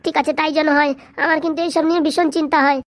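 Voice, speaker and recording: Nagging young village wife for comedy cartoon, female actor: piercingly high pitch, racing delivery, worried. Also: little pitch movement, very fast, lightly acted. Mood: anxious. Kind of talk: dubbed comedy cartoon dialogue.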